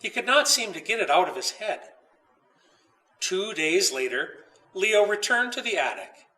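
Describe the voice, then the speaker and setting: A man reading his own children's story aloud with expressive, dramatic narration. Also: high-pitched, measured pace, distant mic, rough recording.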